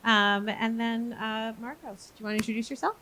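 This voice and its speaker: A woman's voice: a little high, steady tone, fairly quick, sharp tone. A woman from Maine in the United States moderating a live conference panel, chatty and self-deprecating, with lots of fillers. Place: conference stage.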